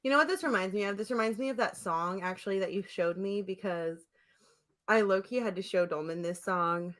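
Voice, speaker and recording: A woman's voice: medium pitch, fairly quick, fairly bright tone. A young woman hosting a podcast, telling anecdotes in casual chat and laughing often. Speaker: podcast host.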